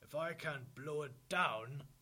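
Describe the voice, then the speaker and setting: Man acting a gloating, forceful big bad wolf. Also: fairly high pitch, slow pace, pitched above the performer's own voice, heavily acted. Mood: menacing. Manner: boastful, emphatic exclamations. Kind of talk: storybook verse reading.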